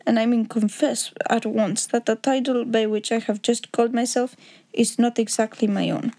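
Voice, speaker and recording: A woman's voice: fairly high pitch, fairly even intonation, moderate pace, warm tone. A woman voicing a proud, agitated male aristocrat in an audiobook story, speaking in a formal, pompous, grave way. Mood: guarded. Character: huge proud Bohemian nobleman, secretly a king, agitated, formal.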